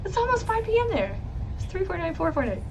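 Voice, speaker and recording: A woman lawyer with a loud voice, hosting a live stream about a trial and reading chat questions. She talks bluntly, swears freely and laughs often. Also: extremely high pitch, sing-song, fast talker, vocal fry, a little hiss or hum, low-quality recording. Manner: blunt and profane, with frequent laughs. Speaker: lawyer and legal commentator.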